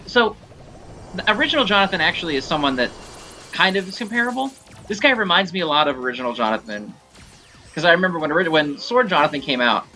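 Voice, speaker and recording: A man giving casual, rambling commentary on gameplay in an informal, animated way. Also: on the high side. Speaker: gameplay showcase host.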